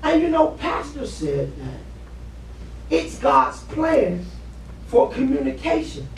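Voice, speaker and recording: Stage play: male actor as earnest, halting husband, needy, animated delivery, picked up from far off with some noise. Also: extremely high pitch, creaky, exaggerated voice. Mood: hesitant, vulnerable. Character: earnest, needy husband opening up to his wife. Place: living-room stage set indoors. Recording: roomy, distant camera mic, background noise.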